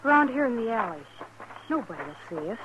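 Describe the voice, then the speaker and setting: A woman's voice: on the high side, big pitch swings, quick pace. An actress playing a coy, coaxing young frontier woman in a radio western. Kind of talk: 1950s radio acting. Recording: muffled, very noisy old radio recording.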